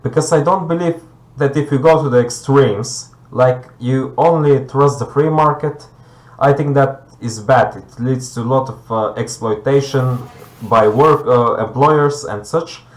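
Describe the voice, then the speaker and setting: A man from Bulgaria, debating conversationally as a guest and haltingly searching for words. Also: mid-range voice. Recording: echoey room sound.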